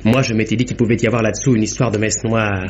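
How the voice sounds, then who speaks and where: An actor playing a polite, flustered gentleman witness in a 1950s French radio mystery, hesitant and repeating himself. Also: on the low side, little pitch movement, fast talker, natural voice. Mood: earnest, speculating. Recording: dull, noisy vintage radio recording.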